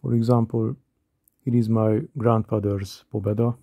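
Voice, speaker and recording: A man in his thirties from Hungary with a Hungarian accent, calmly narrating a scripted voice-over about watches. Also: on the low side, moderate pace, vocal fry, no echo, studio quality. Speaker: watch collector.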